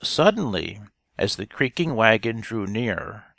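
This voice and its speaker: A man narrates a tale as an audiobook reader. His delivery is slow and deliberate, with a fairly low voice that has a creaky edge. The sound is dry, with no room on it. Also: very animated, a bit bright, no room sound. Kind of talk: scripted storybook narration.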